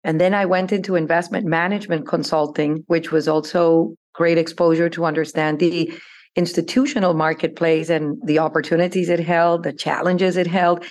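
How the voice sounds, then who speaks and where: A woman from Colombia, an investment firm chief executive, answering interview questions in a measured, deliberate way. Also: fairly low pitch. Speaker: investment firm CEO, guest.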